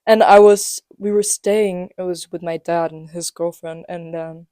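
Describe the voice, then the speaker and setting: Late-teen male podcast co-host, teasing and jokey, mellow tone, dry close sound. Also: high voice, fairly even intonation, measured pace, smooth, no echo. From England and Denmark. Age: late teens. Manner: joking, teasing, lots of fillers. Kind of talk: casual chat. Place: student apartment.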